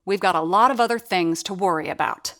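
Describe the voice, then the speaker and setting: A woman plays a sharp-tongued 1930s radio actor and sound effects artist in an audio drama. Her lowish voice carries a dry, sardonic edge as she narrates in character. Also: fairly quick, no room sound. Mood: stern. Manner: wry, sardonic.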